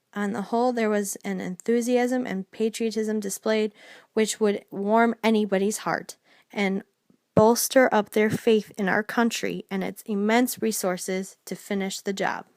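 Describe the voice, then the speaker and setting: A woman's voice: mid-range voice, a little mellow, no echo, clean studio sound. A woman narrating a scripted documentary, reading aloud and now and then stumbling over a word.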